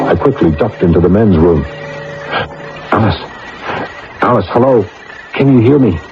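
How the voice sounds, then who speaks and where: An actor in a radio drama playing and narrating as an anxious, easily flustered government adviser. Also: male voice, on the low side. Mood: panicked, flustered. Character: anxious, flustered male government adviser bluffing through his job. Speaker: protagonist and narrator. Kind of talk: radio drama acting and first-person narration. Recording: muffled old radio broadcast with music.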